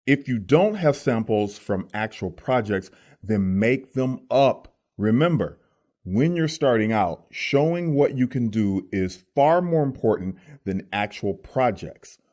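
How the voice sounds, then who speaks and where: A man with a lowish voice gives a tutorial as a working voice actor. His delivery is casual but scripted, explaining things point by point. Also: expressive, takes it slowly, darkish tone.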